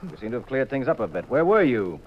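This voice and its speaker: An actor playing a wry, self-assured private detective in a 1950s radio drama, coolly teasing and dry. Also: male voice, medium pitch, very animated, quick pace, a little hiss or hum, lightly acted. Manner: cool, dryly teasing. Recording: dull 1950s radio recording.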